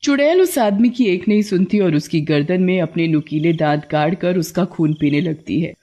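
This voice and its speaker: A narrator telling a scripted horror tale for an animated story. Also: mid-range voice, normal speed, a little hiss or hum.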